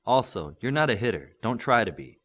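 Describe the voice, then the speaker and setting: A man's voice: mid-range voice, big pitch swings, fairly quick, a bit bright. A man playing a patient, firm superhero mentor in an audiobook, coaching and instructing steadily. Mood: instructive.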